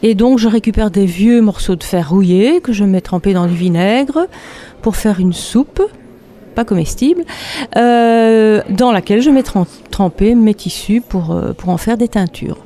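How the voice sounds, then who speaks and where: A woman from Belgium, a textile artist chatting relaxed in an interview, laughing often between hesitant fillers. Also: medium pitch, a little slow. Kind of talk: casual interview.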